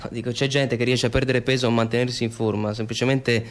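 A man's voice: medium pitch, little pitch movement, fast, close to an everyday voice. An actor playing a dry, matter-of-fact male confidant in a radio drama, with an Italian accent and clipped delivery. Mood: dry, placating.

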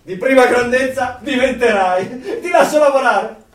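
An actor playing a chatty, nosy, excitable neighbour in a radio play, gushing in a sing-song way. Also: male voice, extremely high pitch, normal speed. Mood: gushing admiration. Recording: distant, echoey room.

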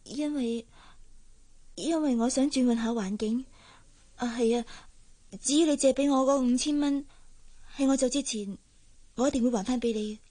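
A woman's voice: high voice, moderate pace, long pauses, dark tone. A woman acting in a radio drama as a sad young housemaid with a Hong Kong accent, guarded and hesitant as she hides her heartache.

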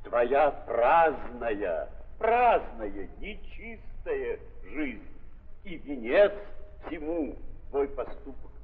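A male narrator of a 1930s radio drama speaks slowly and theatrically, with huge swings of pitch. He leans on repeated phrases in a probing way. The recording is muffled, with long hall reverb. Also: sing-song.

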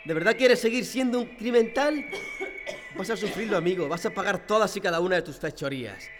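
A man's voice: high voice, a little fast, natural voice. A man from playing a brainwashed prisoner in a radio drama, pleading fervently for devotion to his oppressors. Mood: fanatical, threatening. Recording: long hall reverb, faint music behind.

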